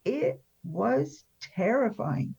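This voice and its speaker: A woman's voice: mid-range voice, sing-song, very slow, gravelly, warm tone, husky. A woman in her seventies telling personal stories as an interview guest, conversationally, in a candid, wry and emphatic way. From born in France. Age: 70s. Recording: dull, lo-fi sound.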